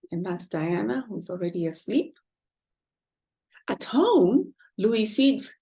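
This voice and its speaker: A woman's voice: medium pitch, warm tone, poor audio. A woman reading a picture book aloud to children, expressively and with questions to the listeners. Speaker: children's storyteller.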